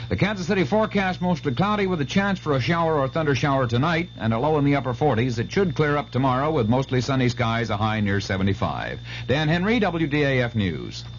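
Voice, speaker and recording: A man's voice: mid-range voice, animated, quick pace, few pauses. A man reading a scripted sports and weather bulletin as a radio announcer. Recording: dull old radio broadcast, faint hum.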